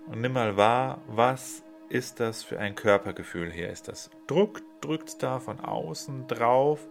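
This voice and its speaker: A man leading a guided meditation, giving slow, soothing instructions with long pauses. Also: medium pitch, vocal fry. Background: soft instrumental music.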